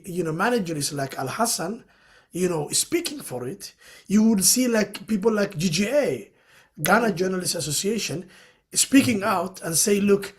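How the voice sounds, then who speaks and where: Earnest man explaining at length. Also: sits high. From Somalia. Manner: earnest, explanatory, many "you know" fillers. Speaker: panel speaker. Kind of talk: webinar panel discussion.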